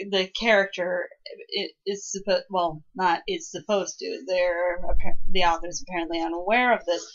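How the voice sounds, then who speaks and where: A woman giving casual critical commentary in a pedantic, halting way, on a lo-fi recording. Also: lowish voice.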